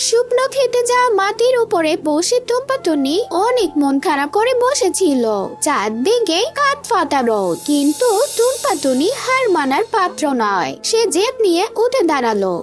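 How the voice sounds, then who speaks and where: A woman's voice: very high-pitched, sing-song, moderate pace, few pauses, soft background music. A woman narrating a scripted children's story as the narrator of a cartoon fairy tale.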